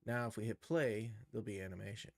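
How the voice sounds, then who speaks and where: A man giving an unscripted software tutorial on a livestream, halting and thinking aloud as he works. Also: fairly low pitch, vocal fry, dry recording, studio quality. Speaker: livestream host and game developer.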